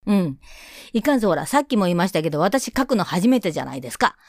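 A woman's voice: fairly low pitch, big pitch swings, fast, a little mellow, no room sound, clean studio sound. A woman hosting a solo radio show, chatting casually in a playful, self-deprecating way. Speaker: radio show host and scriptwriter.

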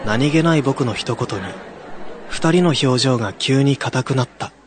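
A man's voice: medium pitch, a little slow, a crowd in the background, noisy background, close to an everyday voice. A man playing a young male college student who tells a ghost story in a radio drama. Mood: somber, reflective. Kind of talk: radio drama narration with acted dialogue.

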